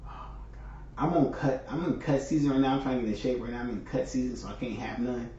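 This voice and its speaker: A man's voice: medium pitch, fairly even intonation, quick pace, mellow, a little hiss or hum. A man from California hosting his stream, talking on his own in a rambling, chatty way full of fillers. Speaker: host. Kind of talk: casual solo monologue. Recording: echoey, distant, dull sound.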